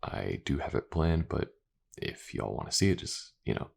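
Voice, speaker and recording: A man talking casually and informally to his listeners in a low-key creator's outro. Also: big pitch swings, moderate pace, gravelly, breathy edge. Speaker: ASMR voice actor, channel creator.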